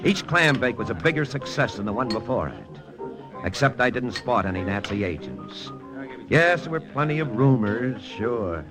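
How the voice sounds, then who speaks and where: An actor playing a wry, hardboiled American army lieutenant working undercover in an old radio drama. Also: male voice, mid-range voice, very animated, normal speed, music in the background, natural voice. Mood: wry, brooding. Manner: dry, hardboiled, wry narration. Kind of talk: 1950s radio drama acting, first-person narration.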